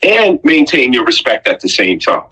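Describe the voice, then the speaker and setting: A man's voice: mid-range voice, big pitch swings, moderate pace, pushed and bright, breathy edge, rough recording. A man giving an opinionated, conversational monologue, blunt and emphatic, with rhetorical questions and pointed repetition. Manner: blunt, profane slang.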